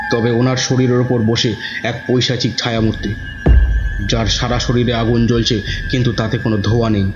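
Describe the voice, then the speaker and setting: Man, scripted horror narration, dramatic, suspenseful, muffled roomy recording, music underneath. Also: mid-range voice. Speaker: first-person narrator.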